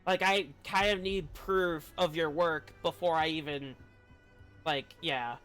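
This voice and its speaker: A man's voice: on the high side, fairly even intonation, takes it slowly, fairly bright tone. A man chatting casually while live streaming a game, rambling and hesitant as he thinks aloud. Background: video game dialogue and music.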